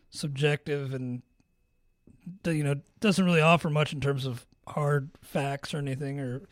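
Raspy-voiced male podcast host. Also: a little high, dry recording, studio quality. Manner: clears throat often. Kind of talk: casual fantasy baseball chat.

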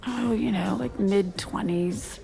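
A woman playing a flustered, hurting wife in an audio drama, speaking haltingly and apologetically. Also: mid-range voice, vocal fry, dark tone, faint music behind. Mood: embarrassed. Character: Diana, flustered, hurting wife clinging to her estranged husband. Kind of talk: naturalistic audio drama acting.

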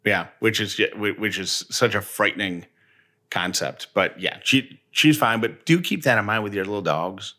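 Bright-voiced American man, low pitch. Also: normal speed. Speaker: co-host. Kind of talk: casual personal chat.

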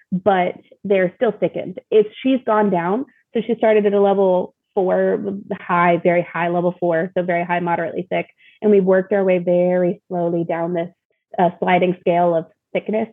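A woman's voice: mid-range voice, narrow, tinny audio. A woman guest chatting casually and informally about her own experience, with a self-deprecating touch, over thin call audio. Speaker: interview guest, mother of young child. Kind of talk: casual chat.

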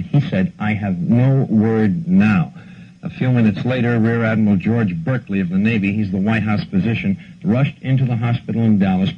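A man's voice: on the low side, slightly rough. A man anchoring a live 1960s breaking news bulletin, reporting facts in broadcast style. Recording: muffled, noisy 1960s archival broadcast audio.

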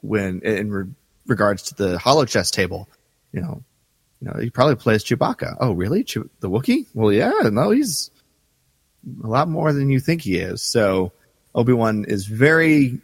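A man co-hosting a podcast in casual fan discussion, halting and thoughtful as he talks. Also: medium pitch, very animated, dry recording, in a large hall. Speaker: podcast co-host.

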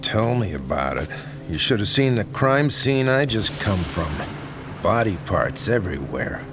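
A bribed city police lieutenant, slow and sardonic in a low voice. His pitch moves freely, with music under the scene. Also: sing-song. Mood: wry. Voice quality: dry, sardonic humor. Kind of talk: radio drama acting. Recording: radio drama with music behind.

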